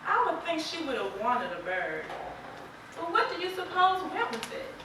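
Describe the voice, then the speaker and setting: A woman's voice: extremely high pitch, wildly swooping pitch, normal speed, exaggerated voice. A woman playing a plain-spoken, regretful farm wife in a rural period stage play, with a folksy, emphatic delivery. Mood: wistful. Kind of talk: stage play acting, early 1900s rural American drama. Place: stage performance recorded from audience distance. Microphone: distant camera. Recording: echoey hall from far off, noisy.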